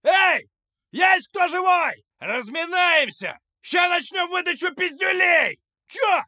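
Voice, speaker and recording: An actor playing a cocky, foul-mouthed Russian gangster fighter in a video game, taunting with mocking street-slang swagger. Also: male voice, very high-pitched, lively intonation, slow, pushed and bright, no room sound, shouting, studio quality, full character voice. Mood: taunting, aggressive. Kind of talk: video game combat voice lines.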